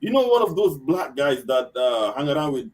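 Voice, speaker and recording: Guy hosting an online chat, keeps repeating himself, laughs here and there, mid pitch. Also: big pitch swings, moderate pace, a little mellow, rough recording. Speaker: online talk show host. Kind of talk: casual online discussion.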